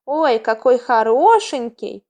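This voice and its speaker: A woman's voice: medium pitch, normal speed, vocal fry, close to an everyday voice. A woman voicing a tender, grateful female cat in an audiobook story, with a gushing, emotional manner. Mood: delighted, tender. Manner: gushing, soft-hearted.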